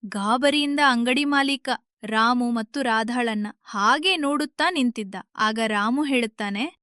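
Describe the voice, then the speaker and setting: A woman's voice: a little high, a little slow, bright, forceful tone, no room sound, studio quality. A woman narrating a scripted moral story.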